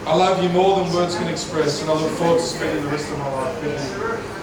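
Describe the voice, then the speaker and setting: Groom, loud and sincere, light jokes; reception hall, distant mic, heavy echo. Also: male voice, fairly high pitch, fairly quick, a loud crowd. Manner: heartfelt, with a few light jokes. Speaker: groom giving wedding speech. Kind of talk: prepared wedding speech. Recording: echoey hall, voice from far off.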